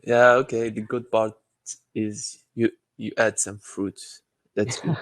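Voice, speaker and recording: A man's voice: lowish voice, little pitch movement, takes it slowly, no echo. A man from Morocco with a Moroccan accent, chatting casually in English as a learner, a little hesitant as he searches for words. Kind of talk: casual chat.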